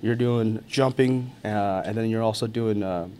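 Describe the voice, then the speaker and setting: A man, an army NCO born in Thailand and raised in America, talking, relaxed, chuckling often and filling gaps with "uh" and "um". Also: on the low side, measured pace, slight background noise. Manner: easygoing, chuckles often, many fillers and restarts. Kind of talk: casual interview talk.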